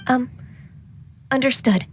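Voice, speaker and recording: A woman voicing a gentle, earnest young adept in training in a video game, polite and a little hesitant. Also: high voice, slow. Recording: music playing behind the voice.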